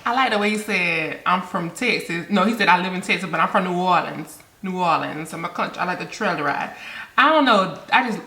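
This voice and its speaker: A woman's voice: lowish voice, sharp tone, roomy sound. A woman hosting a reaction video, chatting casually and talking straight to her viewers.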